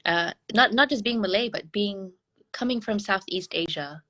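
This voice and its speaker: A woman from Brunei talking as an interview guest in a casual, reflective way, haltingly thinking aloud. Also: medium pitch, sharp tone.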